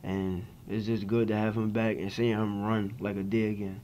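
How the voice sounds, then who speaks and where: A man's voice: fairly low pitch, level tone, moderate pace, dark tone, dull audio, slight background noise. A young man, a college basketball player, answering reporters' questions after a game at a press table. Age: young adult. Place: press conference room. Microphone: table mics in front of him.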